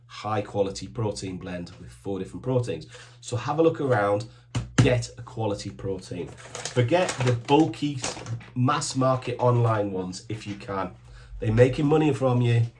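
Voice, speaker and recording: A man's voice: fairly low pitch, a little mellow. Punchy fifty-something man giving gym advice. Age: 50s. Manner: emphatic, punchy short commands; enthusiastic, plain-spoken. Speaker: supplement shop owner giving fitness advice. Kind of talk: direct advice to camera.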